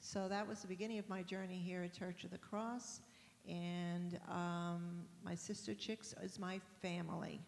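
Older New woman, gravelly voice. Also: fairly low pitch, fairly even intonation. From New York. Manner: earnest, halting with fillers. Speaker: women's group leader. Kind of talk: church panel discussion. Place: church stage, large hall. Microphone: handheld mic. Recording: reverberant hall with faint hiss.

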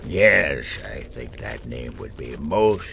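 An actor playing a mysterious, courtly old lord in an audio drama, measured and grandly polite. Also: male voice, rumbling low, big pitch swings, gravelly, heavy background noise, full character voice. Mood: amused, welcoming.

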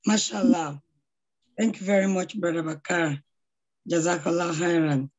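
A middle-aged woman with a hoarse voice, introducing a speaker on a video call with effusive praise and frequent prayers. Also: on the low side, normal speed, darkish tone. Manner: devout, effusive, praying often. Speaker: charity founder introducing a speaker. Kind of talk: online lecture introduction. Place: small room at home. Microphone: laptop webcam on a video call.

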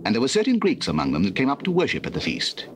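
A man's voice: medium pitch, huge pitch swings, quick pace, slight background noise. A man narrating a dramatized scripture in a formal, solemn storytelling manner.

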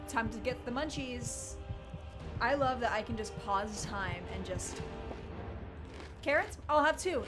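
Young woman streamer, jumpy and excitable, high-ish voice over loud game music. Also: a little slow, long pauses. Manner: jittery, excitable, swings from loud yelps to hushed muttering. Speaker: gamer. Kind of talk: let's play commentary. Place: small room indoors. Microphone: headset.

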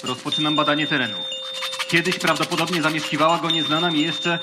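A man's voice: a little high, steady tone, fast talker, natural voice. A man playing an eager, naive and timid junior space officer in a children's audio play, hesitant and blustering. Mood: nervous. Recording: music under the voice.

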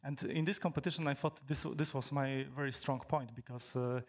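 A young man from Poland, a native Polish speaker, giving a technical talk on stage in a large hall, halting with many fillers and restarts. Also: medium pitch, steady tone. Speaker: conference speaker.

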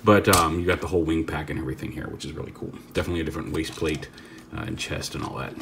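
A man's voice: very deep voice, steady tone, very fast, gravelly, a bit bright, slight background noise. A man giving a casual hands-on toy review, rambling and stopping to correct himself as he handles the figure. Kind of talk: casual hands-on product demo.

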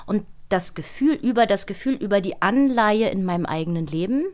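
Lively, expressive German voice actress. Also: female voice, medium pitch, brisk. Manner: lively, expressive, acts out scenes. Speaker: voice actress guest. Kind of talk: interview conversation. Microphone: studio microphone.

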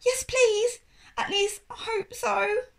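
A woman's voice: very high-pitched, fairly even intonation, slow pace, vocal fry, a bit bright, voice pushed up, full character voice. A woman voicing an anxious, eager young girl in a children's storybook, with urgent, insistent questioning. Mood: hopeful.

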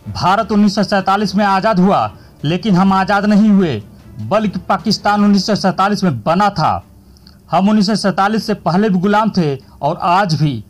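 A man reading a scripted news voice-over in a dramatic, declarative manner. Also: sits high. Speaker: news narrator.

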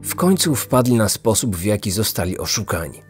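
A man narrating a scripted true-crime podcast as its host, measured and serious. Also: on the low side, sing-song, faint music behind.

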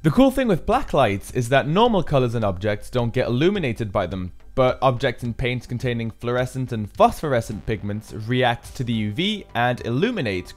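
A man giving an upbeat, explanatory voice-over for a build tutorial, as the maker himself. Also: medium pitch. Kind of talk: scripted tutorial narration.

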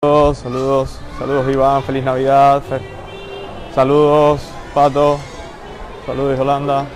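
A man's voice: medium pitch, completely flat delivery, measured pace, faint music behind, poor audio. A man hosting a live walking tour through a busy shopping mall, greeting viewers by name as he goes. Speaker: livestream host. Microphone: handheld phone camera. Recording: phone live stream with loud mall noise. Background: crowd and mall ambience.

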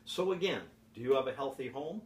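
A man in his sixties giving an informal sales presentation to camera in a deliberate, questioning manner. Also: medium pitch, expressive. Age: 60s. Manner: deliberate, with repeated rhetorical questions. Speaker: presenter pitching home health products. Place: home office, indoors. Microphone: camera mic from across the room. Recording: echoey, distant camera mic with background hiss.

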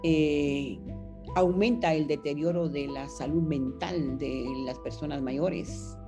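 Woman in her 70s, lowish voice, unhurried with pauses, music behind. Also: fairly even intonation. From Guatemala. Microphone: video call webcam.

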